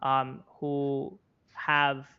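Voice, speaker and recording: A young American man talks through his opinions as an interview guest. His voice is smooth and clear with a fairly flat melody, and he circles back to rephrase. The audio is slightly dull. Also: medium pitch, extremely slow. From Florida, United States. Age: 20s. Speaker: interview guest, content creator and journalist. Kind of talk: conversational interview commentary.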